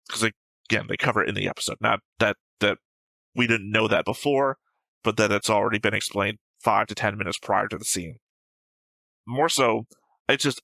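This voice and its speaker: A man's voice: fairly low pitch, gravelly, bright, forceful tone, no echo, rough recording. A man co-hosting a reaction show, talking through his opinions in casual, argumentative discussion. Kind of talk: casual discussion and episode commentary.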